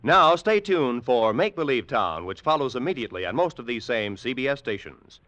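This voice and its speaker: A man's voice: mid-range voice, very animated, normal speed, a bit bright. A man with an American accent doing polished, emphatic scripted announcing for 1950s network radio. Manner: polished, emphatic broadcast delivery. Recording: dry vintage radio recording, faint music.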